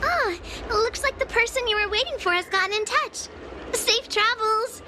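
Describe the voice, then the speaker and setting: Game bookshop girl: childlike, chirpy, extremely high voice, friendly helper. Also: normal speed, background noise. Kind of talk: video game character acting.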